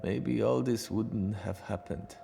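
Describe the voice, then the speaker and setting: An actor playing a plain-spoken, wry, dutiful Soviet lieutenant in a video game. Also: male voice, fairly low pitch, slow pace, gravelly, warm tone, big-room sound, soft background music, heavily acted. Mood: wry, subdued. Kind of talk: video game voice acting, cutscene dialogue.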